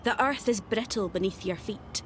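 A woman's voice: medium pitch, normal speed, a bit bright, slight background noise, close to an everyday voice. A woman with a Scottish accent voicing a cheeky, playful, irreverent young immortal fighter for a video game. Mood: dramatic, narrating. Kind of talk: video game character voice lines.

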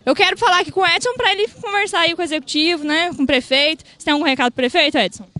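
A woman's voice: extremely high pitch, racing, bright, forceful tone. A woman, doing street interviews with a handheld mic at an outdoor night market, asking pointed questions. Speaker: street reporter.

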